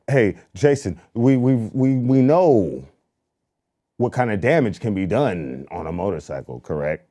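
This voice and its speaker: A man, co-hosting a show and telling loose, animated stories full of slang. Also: on the low side, unhurried. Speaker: podcast co-host. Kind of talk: casual chat.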